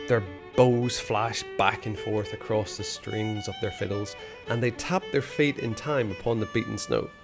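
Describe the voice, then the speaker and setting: A man reading a children's novel aloud, expressive and hushed in the dark passages. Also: fairly low pitch, unhurried, vocal fry, few pauses, over music. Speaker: storyteller.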